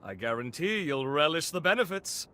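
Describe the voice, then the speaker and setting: An actor playing a pompous, self-satisfied eccentric gentleman in a video game, with theatrical, florid and genteel delivery. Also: a little high, expressive, moderate pace, slight background noise. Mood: smooth, ingratiating.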